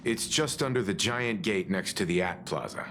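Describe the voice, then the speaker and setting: An actor voicing a reserved, level-headed young man in a video game, speaking calmly. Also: lowish voice, moderate pace, a little hiss or hum. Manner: calm, reserved. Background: game soundtrack music.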